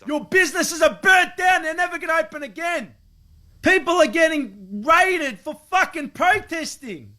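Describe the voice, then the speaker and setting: A man's voice: extremely high pitch, moderate pace, bright, forceful tone. A man delivering a heated, confrontational rant, exasperated and swearing.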